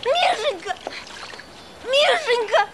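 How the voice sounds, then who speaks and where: A woman's voice: extremely high pitch, expressive, slow pace, very noisy, full character voice. An actress playing a loving young wife who pleads with her husband earnestly and emotionally in a film. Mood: calling out.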